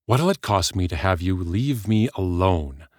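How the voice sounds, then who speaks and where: A man voicing a hostile, suspicious famous woman who talks harshly and briskly. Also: deep voice, expressive, no room sound, clean studio sound.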